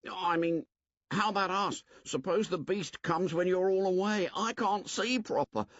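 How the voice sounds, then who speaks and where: A man voicing a timid, bespectacled schoolboy who pleads anxiously in a high, strained voice. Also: a little slow, fairly bright tone, dry recording, highs cut off. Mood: fretful.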